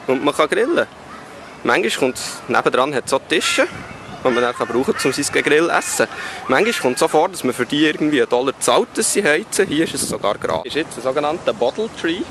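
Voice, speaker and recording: A man giving casual, wry travel vlog commentary while out and about in a city. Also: medium pitch. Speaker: travel vlogger. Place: outdoors in a city park and streets. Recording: very noisy outdoor street and park audio.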